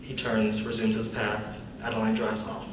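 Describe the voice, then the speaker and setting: A man's voice: on the low side, completely flat delivery, moderate pace. A man reading scripted stage directions as a narrator in a live reading. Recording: distant echoey room, clear background noise.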